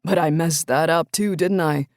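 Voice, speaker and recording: A woman's voice: low voice, normal speed, darkish tone, no room sound, studio quality. A woman voicing a troubled young man who talks in a subdued, regretful way, in an audiobook. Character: troubled young adult man, a guilty football coach. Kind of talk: audiobook character voice.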